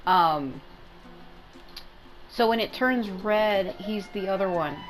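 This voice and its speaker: A woman's voice: medium pitch, slow pace, low-quality recording. A woman giving casual gameplay commentary, explaining in a rambling way and often rephrasing herself. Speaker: gaming content creator. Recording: game music behind the voice. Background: video game music.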